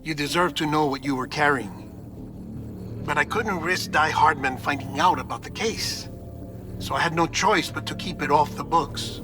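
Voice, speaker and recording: Male actor as grave, secretive game ally leaving a careful confession, slightly high voice, heavy score underneath. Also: normal speed, close to an everyday voice. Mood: grave, confiding. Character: earnest, secretive, apologetic man confiding in an ally. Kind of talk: confidential recorded message in a video game cutscene. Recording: prominent music behind the voice.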